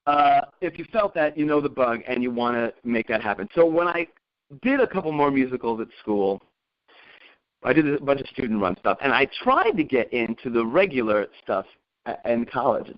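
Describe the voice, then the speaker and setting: A man's voice: mid-range voice, big pitch swings, moderate pace. A man presenting an informal motivational talk as a career coach, telling personal anecdotes, repeating points emphatically and checking in with listeners. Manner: conversational, checks in with listeners with tag questions. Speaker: acting career coach presenting a group call. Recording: dull, lo-fi conference call audio.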